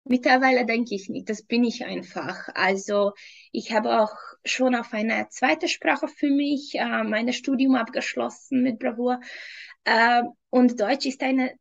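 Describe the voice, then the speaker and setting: A woman's voice: fairly high pitch, fairly even intonation, measured pace, gravelly, highs cut off. A woman in her thirties from Hungary with a Hungarian accent, answering interview questions over a video call in a hesitant way, with many ums and frequent laughs. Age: 30s. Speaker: interview guest, fitness trainer. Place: home room.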